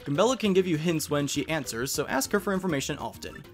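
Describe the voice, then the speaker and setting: A man's voice: fairly high pitch, animated, fast talker. A man hosting a video game playthrough, commenting and reading game text aloud. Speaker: let's play host. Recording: game music behind the voice.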